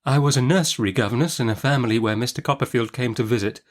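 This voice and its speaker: A man's voice: mid-range voice, fast, lightly acted. A man voicing a meek, tearful young widowed woman in a classic novel audiobook, with a faltering, plaintive and deferential manner. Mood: wistful, tearful.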